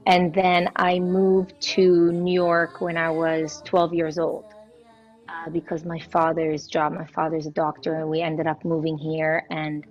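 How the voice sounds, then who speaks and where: A young woman from Israel, a singer, answering interview questions in a warm, proud, flowing way. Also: sits low, muffled recording. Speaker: guest singer. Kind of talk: casual interview chat.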